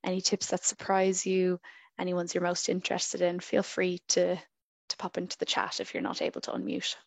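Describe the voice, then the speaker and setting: A woman's voice: on the low side, monotone, moderate pace, gravelly, no room sound. Woman hosting an online workshop. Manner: friendly, chatty, many fillers. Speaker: event host. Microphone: video call.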